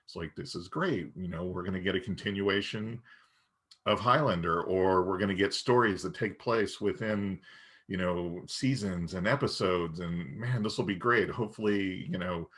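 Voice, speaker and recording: A man's voice: sits low, normal speed, fairly bright tone, slightly rough. A man co-hosting a podcast in casual, fan-to-fan conversation. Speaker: podcast co-host.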